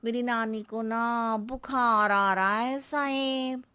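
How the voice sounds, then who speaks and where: A woman voicing a scatterbrained, cheeky housemaid who talks in exaggerated, roundabout riddles for a comic animated story. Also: on the high side, slow, clean tone. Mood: rambling. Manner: exaggerated, circuitous riddling talk.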